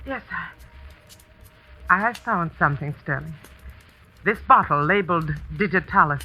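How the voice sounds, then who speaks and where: An actress playing a tense, determined schoolteacher in a 1940s radio suspense drama, intense and halting for effect. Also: female voice, fairly low pitch, lively intonation, sharp tone, husky, soft background music, full character voice, rough recording. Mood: shaken, accusing. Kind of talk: dramatic first-person radio narration, 1940s.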